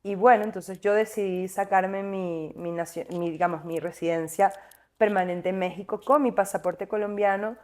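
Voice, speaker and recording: A woman's voice: medium pitch, steady tone, moderate pace, a little mellow. A young woman from Caracas with a Venezuelan accent, a singer talking reflectively as an interview guest, with fillers and restarts. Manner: thoughtful, winding, many fillers and restarts.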